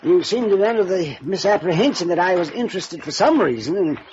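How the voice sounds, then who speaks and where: An actor playing a nervous, evasive older gentleman in a 1950s radio drama, stammering and stuffily over-polite. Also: sits high, expressive, quick pace. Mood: stuffy, defensive. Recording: dull noisy vintage radio recording.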